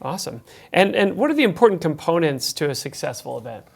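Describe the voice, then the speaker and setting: A man's voice: on the high side, sing-song, fast, vocal fry, slightly rough. A man hosting a TV talk show, introducing guests and reading a countdown list in an upbeat announcer style.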